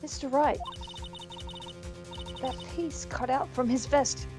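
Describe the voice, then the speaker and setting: A woman's voice: high voice, slow pace, music in the background. A woman voicing an encouraging young assistant in a live fan dub of a game.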